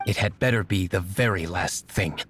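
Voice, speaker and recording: A man's voice: deep voice, moderate pace, fairly bright tone, slight background noise. An actor playing a curt, aloof young nobleman and secret vigilante in a video game, speaking drily and formally. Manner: dry, formal, terse.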